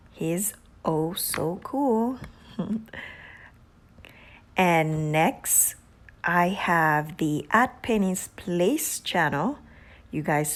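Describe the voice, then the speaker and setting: A woman with a Haitian accent hosting a casual vlog, giving gushing shout-outs with drawn-out emphasis and soft whispery asides. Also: low voice. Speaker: beauty channel host.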